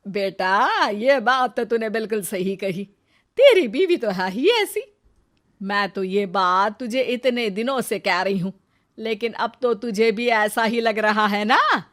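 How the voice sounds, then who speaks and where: A woman voicing a stern, sharp-tongued older mother-in-law who scolds the household. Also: medium pitch, animated, measured pace. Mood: gloating. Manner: scolding and sarcastic, bitter asides. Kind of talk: cartoon story voice acting.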